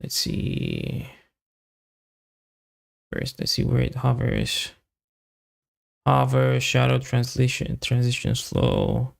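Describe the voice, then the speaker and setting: A man talks to himself during a casual coding stream. His voice is heavily creaky, full of vocal fry, at a middling pitch. He goes slowly, muttering in short, hesitant fragments as he thinks aloud. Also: wildly swooping pitch. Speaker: developer live-streaming coding.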